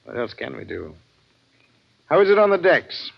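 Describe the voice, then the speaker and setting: A man's voice: a little high, big pitch swings, normal speed, creaky, slightly rough, exaggerated voice. An actor playing a weary, fatherly ship's captain in an old-time radio drama. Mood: weary, grave. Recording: muffled old radio recording.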